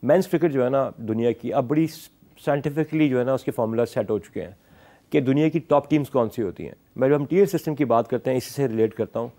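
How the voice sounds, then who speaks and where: Mellow-voiced Pakistani male cricket analyst. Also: mid-range voice, fast. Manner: emphatic, explanatory, switching often between Urdu and English. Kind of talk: sports talk show analysis.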